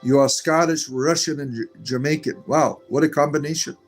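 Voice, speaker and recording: A man's voice: a little high, normal speed, soft background music. A man hosting a live stream, reading viewer comments aloud and answering them in a meandering, chatty way. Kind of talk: casual live-stream chat.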